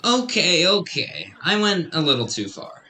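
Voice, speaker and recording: A man's voice: on the high side, full character voice. A man playing a flirtatious, easily flustered Christmas elf in a radio drama, with theatrical, exaggerated delivery. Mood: flirtatious, smarmy.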